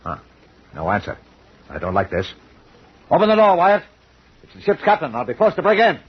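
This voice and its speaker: An actor playing a brusque, commanding middle-aged ship's captain in a 1940s radio drama. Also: male voice, a little high, huge pitch swings, normal speed, slightly rough, full character voice. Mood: tense. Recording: dull noisy old radio recording.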